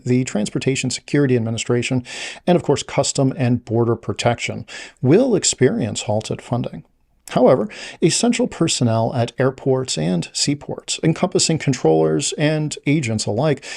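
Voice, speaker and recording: A man presenting scripted travel news in a measured, formal read-aloud delivery. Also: mid-range voice, creaky, a little mellow.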